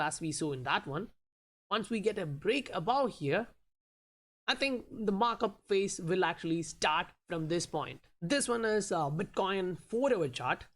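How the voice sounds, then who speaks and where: A woman with an Indian accent who is not a native English speaker, hosting a channel and explaining price charts with frequent fillers and restarts. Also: on the low side. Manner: explanatory, many fillers like "you know" and "uh". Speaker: crypto chart analyst, channel host. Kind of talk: talking through price charts in a vlog.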